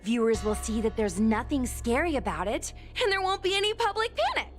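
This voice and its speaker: A woman's voice: sits high, sing-song, a little fast, faint music behind. A woman voicing a bubbly, enterprising young girl in a video game, chatty and confidently persuasive. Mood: enthusiastic pitching. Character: bubbly, enterprising young ghost-hunting girl, eager for followers. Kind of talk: animated video game voice acting.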